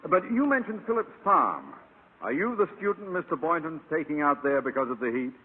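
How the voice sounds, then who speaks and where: A man's voice: on the high side, very animated, brisk, breathy edge. A man playing a blustery, pompous middle-aged school principal, full of scorn, in a vintage radio sitcom. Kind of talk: 1940s-50s radio sitcom acting. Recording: tinny, reverberant vintage radio recording.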